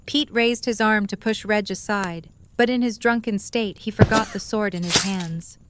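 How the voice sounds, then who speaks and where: A woman's voice: medium pitch, normal speed, heavy background noise. A woman reading scripted story narration as the narrator of an audio drama.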